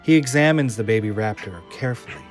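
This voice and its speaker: A man's voice: mid-range voice, lively intonation, quick pace. A man reading scripted screenplay narration for an audio drama. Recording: music under the voice.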